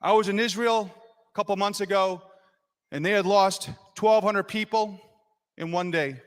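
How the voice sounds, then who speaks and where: Legislator, male, impassioned floor speech, slightly high voice, long chamber reverb. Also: fairly even intonation, normal speed. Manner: impassioned, rising to loud emphasis. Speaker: state legislator. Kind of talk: floor speech explaining a vote.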